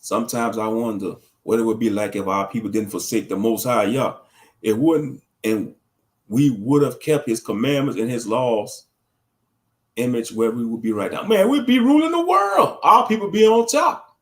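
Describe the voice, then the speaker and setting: A man's voice: mid-range voice, normal speed. A man talking casually on a livestream, rambling and preachy in a slangy way, answering the chat and laughing at his own jokes. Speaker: livestream commentator.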